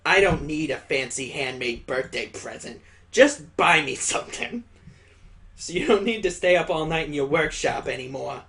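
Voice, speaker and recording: A man's voice: a little high, lively intonation, normal speed, creaky, fairly bright tone. A man voicing a plucky young daughter in a visual novel, frank and affectionately scolding. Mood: fond, earnest. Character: plucky, teasing young daughter of a weary father.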